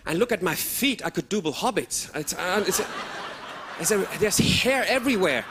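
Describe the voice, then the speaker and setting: A man, performing stand-up comedy for a live audience with lively outbursts. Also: high voice, normal speed, noisy background. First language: German. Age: 30s. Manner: animated, with sudden loud outbursts and put-on voices. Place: stage before a live audience.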